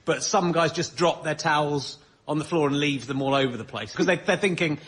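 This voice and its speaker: A man's voice: fairly high pitch, a little fast. A man on a comedy panel, chatting observationally and hesitantly circling back over his phrases. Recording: loud audience, very noisy radio recording.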